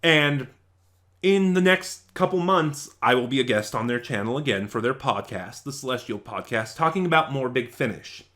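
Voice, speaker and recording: A man with a mid-pitched voice hosts a review channel and speaks casually to his viewers. He talks in short, halting bursts with a conversational, unscripted feel. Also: animated, fairly bright tone. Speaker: video reviewer and channel host.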